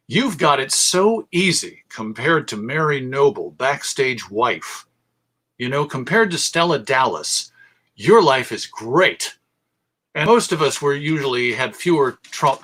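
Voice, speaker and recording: An American man presents an informal history talk. His voice is slightly high and very bright, with a conversational delivery and a dry, wry touch. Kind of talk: informal illustrated lecture.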